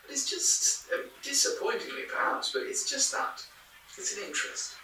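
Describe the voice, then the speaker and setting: An actor playing a chatty, skeptical folklore researcher in a podcast drama, rambling and wry with hesitant stammers. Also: male voice, very high voice, lively intonation, normal speed, bright, forceful tone, far from the mic, heavily acted. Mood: reflective, skeptical. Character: chatty, skeptical male folklore researcher musing on old records. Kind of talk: naturalistic interview in a podcast drama. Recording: very echoey room, off-mic, faint noise.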